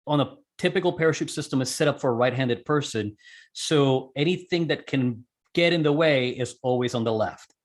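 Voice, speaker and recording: A man being interviewed, explaining a technical procedure step by step in a conversational way and correcting himself as he goes. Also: fairly high pitch. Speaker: interview guest, amateur radio operator and skydiver.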